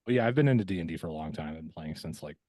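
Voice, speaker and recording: A man's voice: very deep voice, lively intonation, rapid-fire, darkish tone, dry recording, studio quality. A man chatting casually as a podcast guest. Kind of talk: casual chat.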